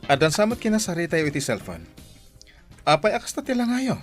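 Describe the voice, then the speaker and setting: A man's voice: fairly high pitch, very animated, a little fast, over music. A man playing an earnest, persuasive adult son in an Ilocano radio drama.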